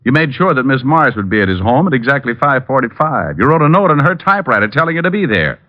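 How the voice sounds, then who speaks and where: An actor playing a wisecracking, hard-boiled private detective in an old radio crime drama, with a wry, needling, slangy delivery. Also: male voice, mid-range voice, big pitch swings, quick pace, natural voice. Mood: confident, accusing. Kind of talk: 1940s-50s radio crime drama acting. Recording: muffled old radio broadcast.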